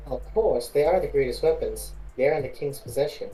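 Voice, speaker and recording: An amateur acting out a princess burdened by a kingdom at war. The voice is dark and relaxed at a middling pitch, earnest but halting. Music plays behind it. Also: natural voice, poor audio. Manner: hesitant, stumbling over lines.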